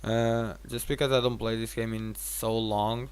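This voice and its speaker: A man's voice: on the low side, level tone, unhurried, clear, resonant tone. A tired man giving casual gameplay commentary as a streamer, rambling and thinking aloud with some casual swearing. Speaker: game streamer.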